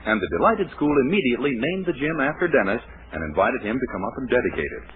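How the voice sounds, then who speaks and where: Fast, very animated male radio announcer. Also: mid-range voice. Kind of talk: 1940s radio comedy narration. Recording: noisy, tinny 1940s radio recording.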